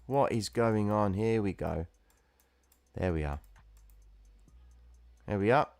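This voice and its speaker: A man's voice: fairly low pitch, takes it slowly, a little mellow, smooth, no room sound. A man hosting a livestream, casually explaining chart trading and chatting with viewers who comment. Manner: chatty, reads viewer chat, calls viewers guys and bro.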